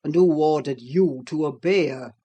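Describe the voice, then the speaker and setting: A woman's voice: low-pitched, normal speed, warm tone, husky, highs cut off, pitched below the performer's own voice. A woman reading aloud, voicing a furious, brooding middle-aged master of the house who threatens and gives orders. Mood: raging. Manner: harsh, threatening, commanding.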